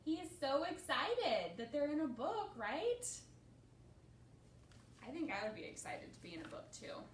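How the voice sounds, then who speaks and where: A woman's voice: sits high, big pitch swings, unhurried. A woman leading a children's storytime as librarian, giving simple encouraging instructions and putting questions to young listeners. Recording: roomy, distant mic, faint noise.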